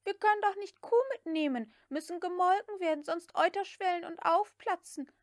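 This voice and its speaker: A woman's voice: extremely high pitch, moderate pace, no echo, clean studio sound, voice pushed up. A woman voicing a frightened, stubborn young maid girl in an audiobook, pleading and protesting in broken grammar. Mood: anxious protest. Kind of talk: audiobook character acting.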